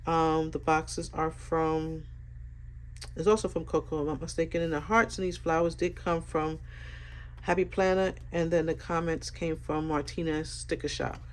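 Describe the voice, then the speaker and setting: Woman vlogger, stuffy sinus voice, relaxed rambling talk, faint background hiss. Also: sits low, normal speed. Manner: relaxed, rambling, many fillers. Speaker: planner vlogger. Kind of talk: casual planner flip-through.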